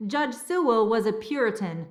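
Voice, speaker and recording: Woman playing a high-voiced, earnest castle historian. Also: lively intonation, normal speed, reverberant, natural voice. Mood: informative. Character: nervous, scholarly female castle historian, a doctor. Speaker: historian and relic keeper. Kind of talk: video game dialogue, expository.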